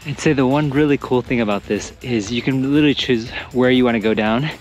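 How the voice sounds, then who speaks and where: Young man, fast pace, mid-range pitch, casual travel vlogger, music in the background. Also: darkish tone, low-quality recording. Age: young adult. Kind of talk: casual vlog narration. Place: ski resort, partly outdoors on slopes, partly in a gondola. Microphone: action camera at arm's length.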